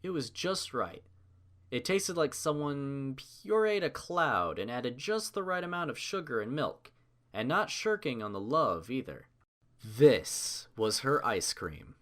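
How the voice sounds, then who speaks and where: A man's voice: mid-range voice, very animated, unhurried, fairly bright tone. A man reading a scripted story as its narrator. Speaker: narrator of a fan fiction reading.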